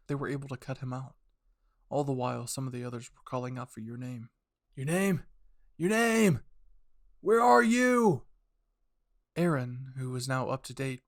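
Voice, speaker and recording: A man reads dramatic fiction with an intense delivery. His voice sits at a middling pitch, recorded close and dry with hardly any room sound. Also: no room sound. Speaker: fan fiction narrator. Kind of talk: dramatic scripted story narration.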